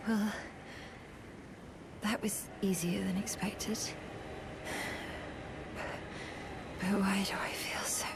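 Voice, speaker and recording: An actress playing a frail, solemn young swordswoman in a fantasy game, speaking softly and gravely in formal, old-fashioned phrases, often half-whispered. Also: mid-range voice, steady tone, unhurried, background noise. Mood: resigned, uneasy. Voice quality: soft, breathy, half-whispered. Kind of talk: scripted fantasy game acting.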